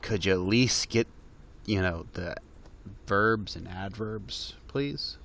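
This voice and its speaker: A man's voice: lowish voice, slow pace, fairly bright tone. A man giving exasperated, mocking reaction commentary on a video, laughing through his remarks.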